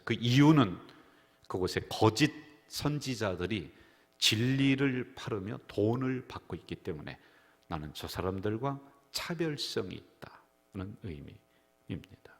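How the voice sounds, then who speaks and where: A man's voice: on the low side, lively intonation, a little slow. A man, the senior pastor, preaching a Sunday sermon in a church sanctuary, telling stories and acting out the people he quotes. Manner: storytelling preacher who acts out quoted voices. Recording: reverberant church hall.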